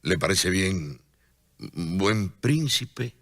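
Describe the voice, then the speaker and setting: Radionovela: selfless, determined friar, earnest and declamatory, very low-pitched, animated intonation. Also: male voice, extremely slow, full character voice. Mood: humble. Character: devout, selfless friar, ailing but determined. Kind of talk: solemn radio drama acting.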